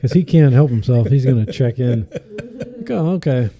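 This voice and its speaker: A man's voice: medium pitch, lively intonation, moderate pace, mellow. A man chatting casually as the host of a podcast. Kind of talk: casual chat.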